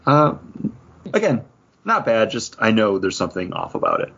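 A man's voice: lowish voice, huge pitch swings, normal speed, vocal fry, a bit bright, a little hiss or hum. A man co-hosting a podcast in a casual, conversational way, describing things as he chats. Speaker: podcast co-host. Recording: slightly muffled, lo-fi audio.

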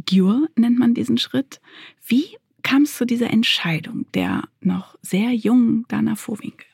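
A woman's voice: fairly high pitch, big pitch swings, unhurried, creaky, darkish tone, husky, no room sound, studio quality. A woman hosting a podcast, reading prepared introductions and putting questions to a guest. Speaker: podcast host.

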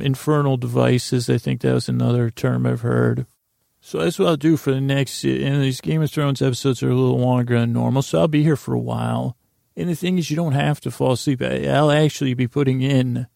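Low gravelly guy rambling sleepy and slow, lots of uhs and restarts, dry close sound. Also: a little mellow, no echo. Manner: meandering, halting, with restarts and filler words; slow drowsy lulling delivery. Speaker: podcast host. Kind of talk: rambling bedtime monologue.

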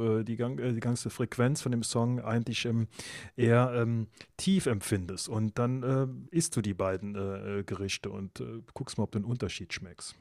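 A man hosts a relaxed podcast interview, searching for words with lots of fillers along the way. His voice is on the low side, and the recording is dry and close. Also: no room sound. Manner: hesitant, many "äh" and "ähm" fillers. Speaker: podcast host. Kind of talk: casual interview.

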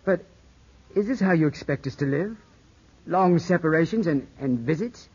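An actor playing an earnest, anxious young English gentleman weakened by illness, in formal 1950s radio drama style on an old broadcast. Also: fairly high pitch, expressive, moderate pace, breathy edge. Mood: anxious, questioning. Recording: muffled 1950s radio broadcast, music behind.

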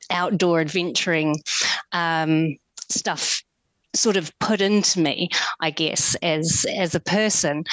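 A woman's voice: fairly low pitch, no room sound. A woman with a New Zealand accent, a guest on an interview show, talking reflectively with many small restarts and fillers. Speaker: guest adventurer. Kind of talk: casual interview talk.

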